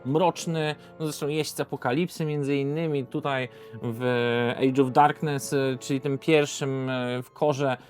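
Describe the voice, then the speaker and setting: A man's voice: mid-range voice, level tone, a little slow, a little mellow, soft background music. A Polish man presenting an informal, unscripted board game video review, hesitating and often correcting himself as he goes.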